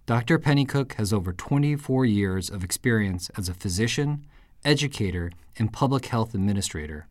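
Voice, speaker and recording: A man's voice: fairly low pitch, expressive, a little fast, no room sound, studio quality. A man born in Japan hosting a podcast, reading a scripted introduction and then asking questions with a few hesitations. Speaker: podcast host. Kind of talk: podcast interview.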